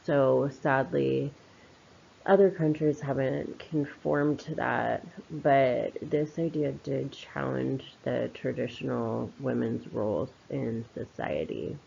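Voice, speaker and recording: Woman with very creaky, low-fi voice. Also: slow, dark tone, a little hiss or hum. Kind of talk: informal spoken commentary. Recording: slightly dull, lo-fi voice recording.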